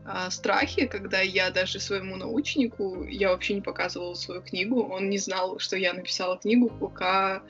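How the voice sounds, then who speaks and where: A young woman answers interview questions in a chatty, rambling way, stopping often on filler sounds, her pitch sitting right in the middle. The recording is a little dull, with faint music under her voice. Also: fairly even intonation, fairly bright tone. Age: young adult. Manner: chatty and quick, with many hesitation sounds. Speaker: interview guest, writer and club organizer. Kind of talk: casual conversational interview.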